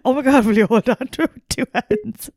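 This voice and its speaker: A woman's voice: fairly high pitch, sing-song, fairly quick, a little mellow, dry recording, studio quality. A woman with an Irish accent co-hosting a podcast in casual chat over drinks, playful, giggly and sweary. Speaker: podcast co-host.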